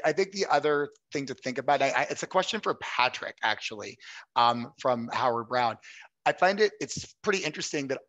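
A man's voice: mid-range voice, fairly bright tone, no room sound, low-quality recording. A man on a headset in an office on a video call, talking casually and self-deprecatingly.

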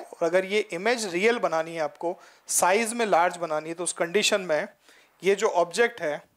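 A man in his forties, a physics teacher giving a classroom lecture with a headset mic in a studio. He talks in an explanatory way, often tells students to look carefully, and mixes in English terms. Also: sits high. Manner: gestures and points at the board while talking. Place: studio in front of a projected board.

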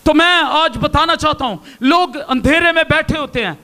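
High-pitched man preaching with fervor. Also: moderate pace. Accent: Pakistani. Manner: impassioned preaching, shouting at peaks, raising arms. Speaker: pastor. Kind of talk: sermon. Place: large indoor hall with stage and pulpit. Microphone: handheld microphone.

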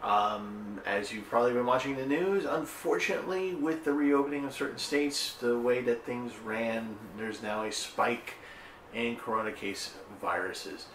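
A man's voice: medium pitch, unhurried, fairly bright tone, recorded from a distance, slight background noise. A middle-aged man hosting a home cooking video, talking casually with lots of ums and restarts. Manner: rambling and chatty, many ums and restarts; gestures with hands while talking. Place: home kitchen, indoors. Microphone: camera a little way off. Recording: echoey kitchen, voice a bit off-mic.

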